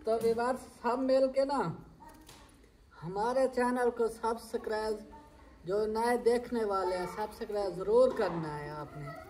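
A man, greeting viewers in a friendly, brisk way and asking them to subscribe. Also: very high voice, a little mellow, a little hiss or hum, rough recording. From Pakistan. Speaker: co-host of a family vlog. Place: outdoor courtyard with brick walls.

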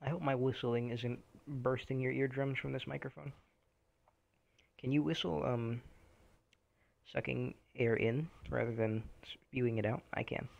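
A young man chatting casually over gameplay, rambling and self-deprecating, with a low, slightly raspy voice he keeps quiet on purpose. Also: fairly even intonation, measured pace, highs cut off. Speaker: gaming commentator. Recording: game music underneath.

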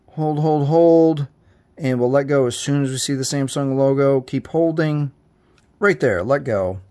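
A man's voice: on the high side, a little mellow. A man hosting a tech tutorial, talking the viewer step by step through resetting a phone in a direct, repetitive way. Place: indoors at a table, phone held to camera.

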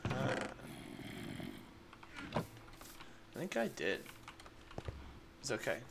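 A man's voice: mid-range voice, huge pitch swings, extremely slow, creaky, heavy background noise. Congested man chatting over gameplay. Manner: rambling, chatty, addresses chat as guys. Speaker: gaming creator. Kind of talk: casual unedited gameplay commentary.

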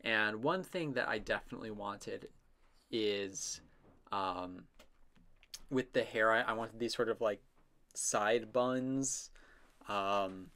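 A man's voice: lowish voice, slow, long pauses, sharp tone. A man narrating his own drawing process in a casual, unscripted voice-over, rambling and self-amused as his musings trail off.

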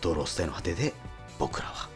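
A man hosting a radio show in casual chat, phrasing things in a halting, repetitive way. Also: sits low, sing-song. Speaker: radio host. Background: faint music bed.

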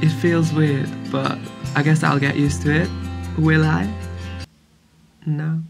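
A man in his twenties chatting casually into a handheld camera in a student flat, giggly and self-mocking. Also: fairly high pitch, slow, creaky. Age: 20s. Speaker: vlogger. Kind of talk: casual vlog chat. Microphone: handheld action camera. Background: background music.

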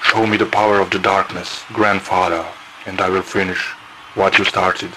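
A man's voice: low-pitched, little pitch movement, normal speed, background noise, lightly acted. A man playing a defiant, petulant young masked villain in a cartoon parody. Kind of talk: cartoon parody character acting.

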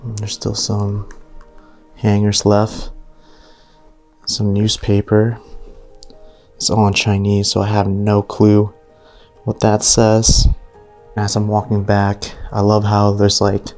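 A man's voice: lowish voice, monotone, slow, long pauses, darkish tone, soft background music. A man casually narrating as he walks through an abandoned mansion, easily impressed and full of fillers, recorded on a camera mic indoors. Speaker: urban explorer vlogger.

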